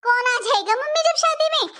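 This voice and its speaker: A woman's voice: very high-pitched, expressive, moderate pace, pushed and bright, heavily acted. A woman voicing an impatient young married daughter in a cartoon family drama, nagging and pressing as she talks. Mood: complaining. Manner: pressing, complaining.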